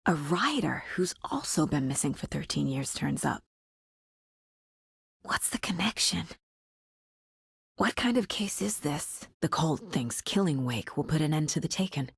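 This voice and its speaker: An actress playing a determined woman detective in a video game, speaking in a serious, focused, terse way with a soft, husky voice. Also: low-pitched, animated, measured pace, pauses often, dry recording, clean studio sound, full character voice. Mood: hushed, uneasy. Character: determined woman detective investigating supernatural cult murders. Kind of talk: video game character lines, investigative inner monologue.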